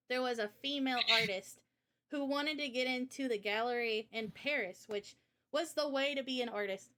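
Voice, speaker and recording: A woman's voice: a little high, fairly bright tone. A woman in a casual livestream chat, explaining her ideas in an informal, opinionated way. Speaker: streamer and game developer.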